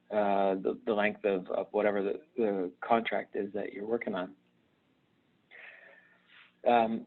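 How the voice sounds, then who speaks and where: A man gives an instructional live webinar presentation, explaining things conversationally and haltingly, often hesitating and repeating words. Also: medium pitch. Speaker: presenter at a virtual conference. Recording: narrow, phone-like online call audio.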